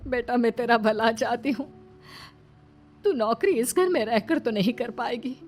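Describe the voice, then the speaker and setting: Woman doing kind tired older mom in law, earnest motherly talk, highish, cartoon story w music. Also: measured pace, natural voice. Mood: sad, caring. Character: kind, long-suffering older mother-in-law, protective and weary.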